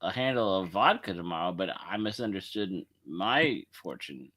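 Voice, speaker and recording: A man's voice: sits low, expressive, normal speed, fairly bright tone, no room sound. A man co-hosting a podcast, chiming in with laid-back jokes. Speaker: podcast co-host. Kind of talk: casual podcast banter.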